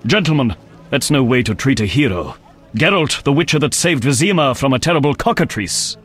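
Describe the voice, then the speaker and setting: An actor playing an earnest, courteous knight in a fantasy game, speaking in a declamatory manner. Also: male voice, medium pitch, expressive, brisk, a bit bright, husky. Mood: proud, friendly.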